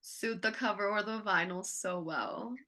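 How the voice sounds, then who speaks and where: Young woman podcast host, bright tense voice, super enthusiastic. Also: mid-range voice, a little slow. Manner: enthusiastic, encouraging. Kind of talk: casual interview chat.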